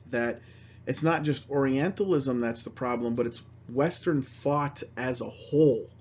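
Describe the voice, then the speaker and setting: A man's voice: mid-range voice, mellow, husky. A man lecturing on history, reading passages aloud and then explaining them in plain words. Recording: slightly dull, lo-fi recording.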